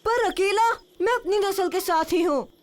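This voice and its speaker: A woman voicing a plucky, boastful young jungle boy in a cartoon, cocky and excitable, with music behind. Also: extremely high pitch, moderate pace. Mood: defiant.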